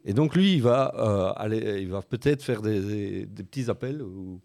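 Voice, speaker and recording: Guy in his 40s on a board game podcast, soft mellow low voice, lots of uhs, halting. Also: lively intonation, creaky, no room sound. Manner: halting, hesitant explanations with many filled pauses. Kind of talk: casual podcast talk, explaining board games.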